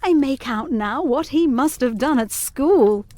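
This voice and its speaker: A young governess in a gothic ghost story. Her voice sits a little high, her phrasing is formal and literary, and she sounds earnest, intense and anxiously determined. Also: expressive, a little slow, a little mellow. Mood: fervent resolve. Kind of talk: audio drama acting of Victorian gothic ghost story.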